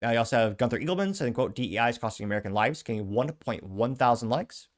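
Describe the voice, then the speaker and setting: A man's voice: mid-range voice, lively intonation, quick pace. Chatty male show host reading posts. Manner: reads posts aloud, chatty asides. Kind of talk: casual news commentary.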